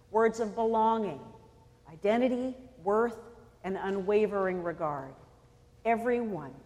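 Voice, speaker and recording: A woman from California preaching a sermon in a measured, deliberate way, pausing for emphasis. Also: lowish voice, a little mellow, in a large hall. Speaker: pastor.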